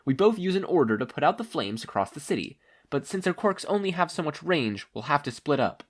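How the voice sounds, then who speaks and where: A reader as a confident heroine, pitched up. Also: sing-song, brisk. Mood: calm, assured. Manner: assured, encouraging. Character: calm, confident adult woman superhero mentor.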